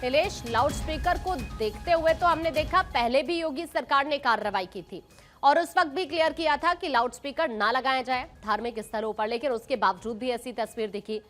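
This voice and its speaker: A woman's voice: sits high, moderate pace, a bit bright. A woman anchoring live TV news, presenting in an emphatic, repetitive headline style. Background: news music bed.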